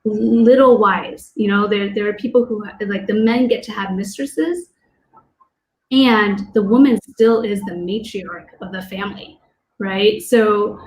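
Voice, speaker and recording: A woman's voice: measured pace, rough recording. A woman born in Taiwan and raised in the US, talking animatedly on a video call panel, her voice leaping up to make a point. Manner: animated, pitch jumps up when making a point. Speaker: panel co-host. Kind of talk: casual panel discussion.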